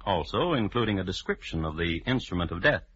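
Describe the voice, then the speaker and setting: Husky, precise crime historian. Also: male voice, low-pitched, very animated, a little fast, fairly bright tone, no echo, full character voice. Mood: formal, ominous. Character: dry, formal male crime historian narrating old murders. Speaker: narrator and host. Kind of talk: 1950s radio scripted narration. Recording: muffled vintage radio broadcast recording.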